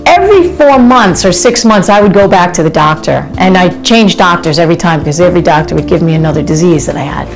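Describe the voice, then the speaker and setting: A woman's voice: lowish voice, animated, quick pace, hardly any pauses, music in the background, low-quality recording. A woman in an interview recounting her own experience in a conversational way, with frequent fillers. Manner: conversational, many fillers like "you know" and "uh". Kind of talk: personal account in an interview.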